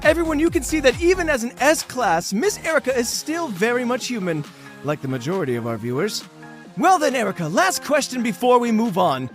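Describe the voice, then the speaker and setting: A man's voice: very high-pitched, sing-song, faint music behind. A man playing a flamboyant, cynical game show host in an audio drama, slick, mocking and theatrically condescending. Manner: theatrical, condescending, drawn-out showy delivery. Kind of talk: bombastic TV game show presenting.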